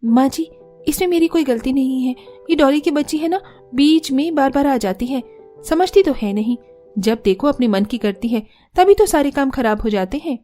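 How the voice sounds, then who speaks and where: A bossy elder sister-in-law, brusque, high voice, faint music behind. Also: female voice, expressive, normal speed, mellow. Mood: complaining. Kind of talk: cartoon voice acting.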